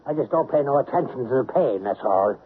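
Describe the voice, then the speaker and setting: An actor playing an irritable, gruff middle-aged burglar and father, grumbling and wisecracking bluntly in old-time radio crime drama style. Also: medium pitch, expressive, fast talker, close to an everyday voice. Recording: narrow-band hissy old radio recording.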